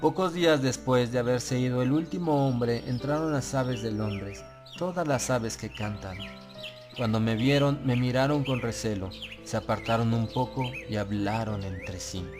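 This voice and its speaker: Male narrator, mid-pitched voice, gothic audiobook storytelling, solemn and measured, falling phrase endings, prominent background music. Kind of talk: gothic horror story narration in Spanish, first person.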